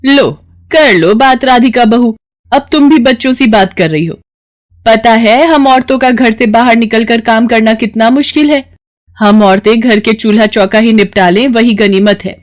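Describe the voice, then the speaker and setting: A woman's voice: on the high side, moderate pace, voice pushed up, close to an everyday voice. A woman voicing a nagging, scornful older mother-in-law in a cartoon story, sarcastic and scolding.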